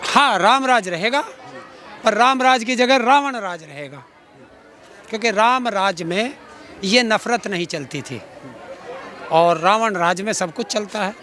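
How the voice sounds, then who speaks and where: A man's voice: high voice, a little slow, long pauses, sharp tone. A middle-aged man, speaking combatively and sarcastically in an outspoken street interview, full of rhetorical challenges. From Uttar Pradesh, India. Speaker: farmer activist being interviewed. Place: outdoors in a public place. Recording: outdoors with faint crowd behind.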